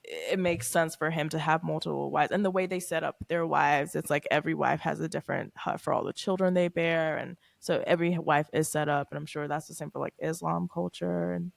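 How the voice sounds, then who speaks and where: A woman's voice: low voice, steady tone, brisk, creaky, hardly any pauses, no echo, studio quality. A woman from Tanzania co-hosting a podcast, chatting casually, laughing easily and speaking frankly. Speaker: podcast co-host. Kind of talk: casual chat.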